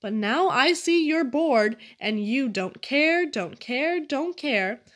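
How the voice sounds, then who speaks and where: High-voiced woman reading children's poems slowly. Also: animated, fairly bright tone. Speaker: poetry reader. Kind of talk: expressive children's poetry reading.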